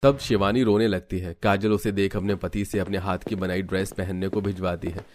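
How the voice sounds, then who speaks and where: A man's voice: low-pitched, fairly even intonation, normal speed, a little mellow, slight background noise. A man reading scripted narration for a cartoon family story.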